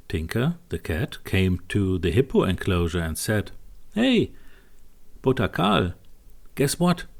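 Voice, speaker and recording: A man narrating a scripted bedtime story for young children in a simple, deliberate way. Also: deep voice, darkish tone.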